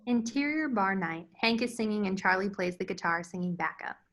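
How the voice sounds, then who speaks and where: Female narrator for screenplay directions, mid-pitched, bright, with noticeable creak, scripted delivery. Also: lively intonation, brisk. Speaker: narrator reading stage directions.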